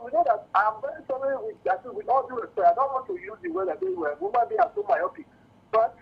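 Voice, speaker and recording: Male Nigerian phone-in caller, very high, lively pitch, earnest explanatory political commentary on a dull line. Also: creaky. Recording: dull lo-fi phone call to radio.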